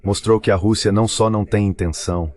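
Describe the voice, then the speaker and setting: A man with a Brazilian Portuguese accent reading translated political commentary as a voice-over in a measured, formal, articulate way. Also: lowish voice, steady tone, darkish tone. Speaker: dubbed translation voice of an interview guest.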